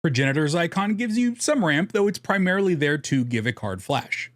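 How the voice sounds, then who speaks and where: Casual man with lively, swinging intonation. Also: fairly high pitch, a little fast, dry recording, clean studio sound. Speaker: host of a card game deck guide channel. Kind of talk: scripted explainer narration with casual asides.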